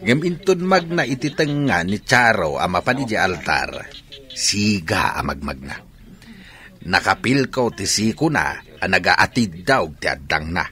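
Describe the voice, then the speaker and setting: Deep-voiced grumbling older father. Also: sing-song, unhurried, bright, forceful tone, faint music behind, heavily acted. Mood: critical, impatient. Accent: Ilocano. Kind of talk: Filipino radio drama acting.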